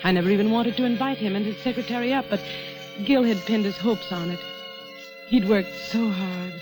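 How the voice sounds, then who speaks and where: An actress playing a worried, suspicious wife who runs a failing ranch, in 1940s radio drama style with narration and questioning dialogue. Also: female voice, mid-range voice, fairly quick, close to an everyday voice, rough recording. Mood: brooding. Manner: brooding, questioning. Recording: vintage radio broadcast with music.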